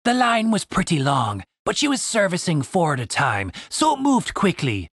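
A man voicing a crude, grinning male half-elf prisoner who taunts in a slow drawl, in an audiobook. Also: high-pitched, huge pitch swings, fairly bright tone, husky, dry recording, exaggerated voice. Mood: taunting.

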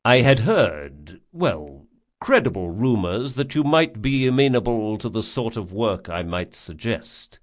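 A man playing a polite, formal and guarded gentleman client in a fantasy audiobook. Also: on the low side, very animated, a little slow. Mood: careful, sly. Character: courteous, smooth-talking male client, formal and guarded.

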